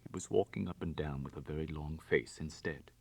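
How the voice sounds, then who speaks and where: A man's voice: extremely low pitch, a little fast, gravelly, no echo. A man narrating literary fiction in the first person, in a dramatic storytelling style. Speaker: audiobook narrator.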